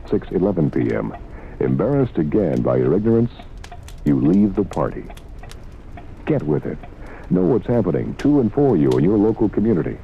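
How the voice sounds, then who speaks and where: A man's voice: deep voice, gravelly, slight background noise. A man reading a scripted radio advertisement as announcer, in clipped, punchy phrases. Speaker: commercial announcer. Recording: tinny old radio advert.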